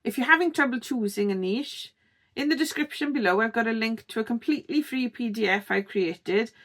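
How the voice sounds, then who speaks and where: A woman's voice: fairly high pitch, fairly quick, a bit bright. A middle-aged woman with a strong Welsh accent, talking straight to camera as the host of her own tips channel in an encouraging, conversational way. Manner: encouraging, conversational, checks in with "okay?". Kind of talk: direct-to-camera tips video.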